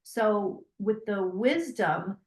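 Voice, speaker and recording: A woman who reads tarot, telling stories in an animated, conversational way with frequent restarts. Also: mid-range voice, very slow, roomy sound. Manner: animated storytelling with many restarts. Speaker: psychic tarot reader. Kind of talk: interview conversation.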